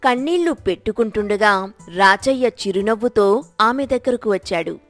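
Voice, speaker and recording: Woman narrating a moral story, medium pitch. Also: animated, normal speed, no room sound, soft background music.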